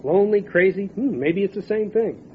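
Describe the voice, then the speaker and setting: Man playing a tinny-sounding cynical lawman, higher-pitched. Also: moderate pace, lightly acted. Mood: musing, wry. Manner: folksy, wry, matter-of-fact. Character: cynical, world-weary male sheriff's lawman. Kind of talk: radio drama dialogue. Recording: tinny phone-like band, noisy outdoor ambience.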